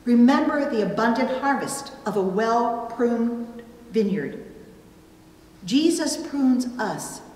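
A middle-aged woman preaching a sermon from a pulpit, with deliberate, emphatic phrasing and pauses. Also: fairly high pitch, animated, darkish tone. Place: indoors at a church pulpit. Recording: roomy, reverberant church, distant, faint noise.

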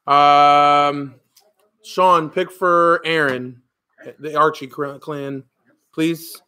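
Bright-voiced man calling out names. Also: fairly high pitch, fairly even intonation, very slow, smooth. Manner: calls out names, joking. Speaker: card break host. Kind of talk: casual group chat.

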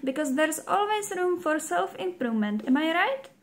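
Young Czech woman host, high voice, playful and upbeat, second-language English. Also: big pitch swings, moderate pace. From Czech Republic. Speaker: show host. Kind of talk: scripted intro to camera. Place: home room, indoors.

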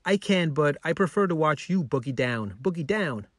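A man voicing an affectionate, excitable little boy in a children's storybook, eager and sweet. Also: on the high side, normal speed, dry recording, clean studio sound. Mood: playful.

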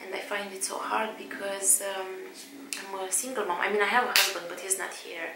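A woman's voice: medium pitch, flat delivery, a little fast, roomy sound, very noisy. A woman in her thirties with a Romanian accent, talking hesitantly to the camera at home with many fillers and restarts. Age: 30s. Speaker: vlogger. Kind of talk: casual talk to camera. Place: bedroom at home, indoors.

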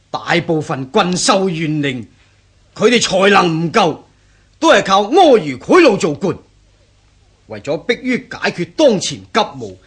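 An actor playing a defiant, bitter bandit chief in a radio drama, with a husky voice and a Hong Kong Cantonese accent. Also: male voice, sits high, a bit bright, full character voice. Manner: indignant, scoffing, rhetorical.

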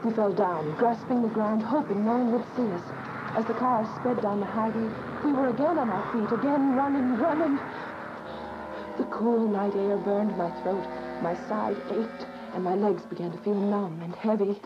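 A woman's voice: mid-range voice, normal speed, heavy background noise, lightly acted. An actress playing a devout, frightened young woman on the run, in an earnest, dramatic radio drama style. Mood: solemn, tense. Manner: earnest, dramatic, literary delivery. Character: frightened, devout young woman fugitive telling her story. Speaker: narrator and lead actor. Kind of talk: dramatic first-person radio drama narration. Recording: dull, lo-fi audio with music behind.

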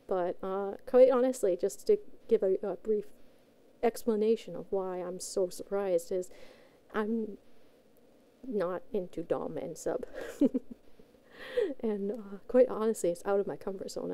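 A woman's voice: medium pitch, mellow. A woman hosting her own audio channel, chatting casually to listeners in a rambling, informal, self-effacing way. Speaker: amateur voice actor, channel host.

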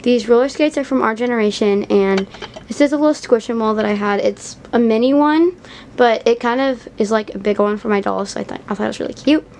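This is a young female vlogger with an American accent and a slightly high voice. She talks in a chatty, informal way, sprinkling in "like" as she guides viewers through a casual tour at home. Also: fairly quick, darkish tone, slight background noise. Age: young, child or teenager. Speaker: doll vlogger giving a house tour. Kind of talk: casual home vlog narration. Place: indoor room at home, behind the camera.

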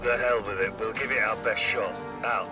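An actor playing a decisive, brusque special forces captain in a video game. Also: male voice, on the low side, steady tone, normal speed, close to an everyday voice. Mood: resolute. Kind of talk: video game mission radio dialogue. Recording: distant voice under loud music.

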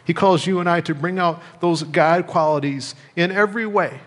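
A middle-aged American man preaching a sermon as a pastor, speaking in measured phrases with pauses and repetition. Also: fairly high pitch. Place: church sanctuary, indoors at a lectern. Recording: reverberant church hall.

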